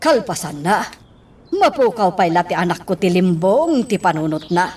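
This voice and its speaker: A husky, worried mother. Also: fairly low pitch, sing-song, normal speed, a little mellow, recorded from a distance. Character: middle-aged mother, worried and scheming. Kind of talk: radio drama acting.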